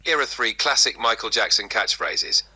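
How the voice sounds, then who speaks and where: A man's voice: mid-range voice, moderate pace, pushed and bright, husky. A synthetic male voice assistant, answering politely and upbeat. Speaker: voice assistant played in the room. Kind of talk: machine speech.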